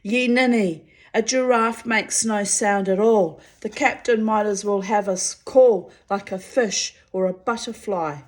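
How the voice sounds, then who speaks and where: A woman reading aloud, voicing a gruff, rustic giant outlaw man who is quick to anger. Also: medium pitch, close to an everyday voice. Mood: furious. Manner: growling, short-tempered, rustic speech.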